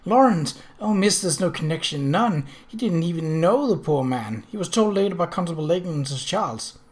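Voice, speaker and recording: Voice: medium pitch, lively intonation, brisk, close to an everyday voice. A narrator voicing a nervous, flustered young lady's maid who stammers deferentially in an audiobook story. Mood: anxious, pleading.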